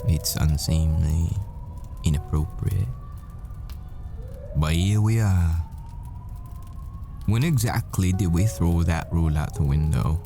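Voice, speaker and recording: A man acting a deep-voiced, unhurried hitman boyfriend. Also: gravelly, warm tone, faint music behind, full character voice. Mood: fond, teasing. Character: secret hitman posing as professor, smitten, haunted boyfriend. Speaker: audio roleplay voice actor. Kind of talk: ASMR boyfriend roleplay, dramatic monologue.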